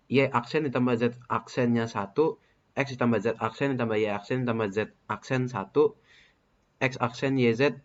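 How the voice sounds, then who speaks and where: A man's voice: medium pitch, a little hiss or hum. Young guy droning through logic tables, kinda muffled lo-fi audio, plain mid voice. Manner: methodical, repetitive, with hesitant fillers. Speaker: student presenting a case study. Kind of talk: reading out truth-table steps. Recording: muffled lo-fi recording.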